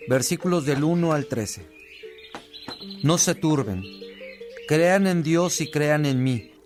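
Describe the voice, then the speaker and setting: A man playing a practical monk who reads scripture in a radio drama, declamatory and deliberate. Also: fairly high pitch. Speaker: actor in a religious radio drama. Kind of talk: acted radio drama and solemn scripture reading.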